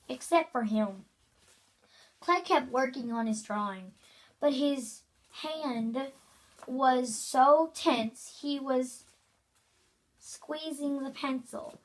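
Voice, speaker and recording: A woman's voice: sits high, poor audio. A female amateur reader reads a children's novel aloud with casual asides, stumbling over words and repeating them. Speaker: amateur reader hosting a read-aloud video.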